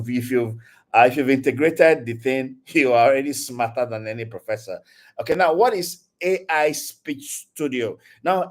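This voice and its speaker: Chatty Nigerian man lecturing online. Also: mid-range voice. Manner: chatty, repeats words, frequent "okay?" check-ins. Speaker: guest speaker, tech community leader. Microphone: video call.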